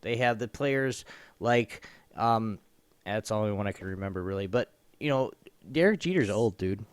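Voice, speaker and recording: A man's voice: fairly low pitch, lively intonation, normal speed, clean tone, dry recording. A man co-hosting a podcast, chatting about sports in a blunt, informal and emphatic way. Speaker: podcast co-host. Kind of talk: casual opinionated sports chat.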